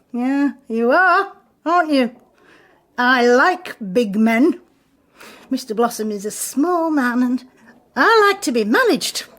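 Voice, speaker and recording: An actress playing an amorous, pushy middle-aged married councillor in a radio drama, gushing and suggestive. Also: female voice, high-pitched, fairly bright tone, full character voice. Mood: seductive, pleading.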